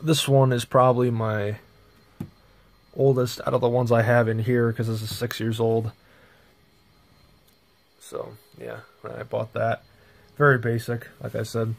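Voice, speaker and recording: A man's voice: medium pitch, little pitch movement, measured pace, creaky, pauses often. A man casually showing things off in a rambling, off-the-cuff vlog, recorded indoors on a handheld phone. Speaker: hobbyist video presenter. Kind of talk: casual show-and-tell vlog. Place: small bedroom indoors. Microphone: handheld phone camera.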